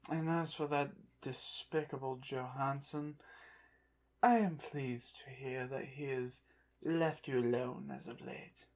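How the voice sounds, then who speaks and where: Someone doing a proud undead egyptian pharaoh, asmr roleplay, real slow n soft, pompous old-timey wording. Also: medium pitch. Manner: pompous, formal, archaic phrasing. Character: haughty, prideful undead Egyptian pharaoh mummy, secretly fond.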